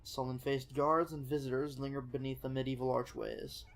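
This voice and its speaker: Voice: mid-range voice, level tone, moderate pace, slight background noise. A streamer with a nasal, stuffed-up voice, casually reading a game aloud on a live stream. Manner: casual, swears. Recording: music in the background.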